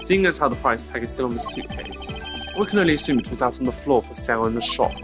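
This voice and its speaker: A woman puts on a composed male investigator for a video game. The pitch is low and the delivery is stern, formal and measured, as if questioning a witness. Music plays clearly behind the voice. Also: expressive. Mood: accusing. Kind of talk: video game dialogue voice acting.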